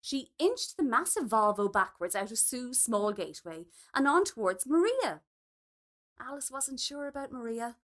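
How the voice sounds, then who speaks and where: A woman narrates a literary short story in a high voice. Her reading is expressive, with pitch that moves widely from phrase to phrase. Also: normal speed. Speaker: story reader.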